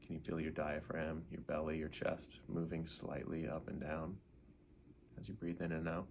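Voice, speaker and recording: A man leading a guided meditation in an unhurried way, gently asking questions and leaving pauses. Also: very deep voice, flat delivery, gravelly, slight background noise.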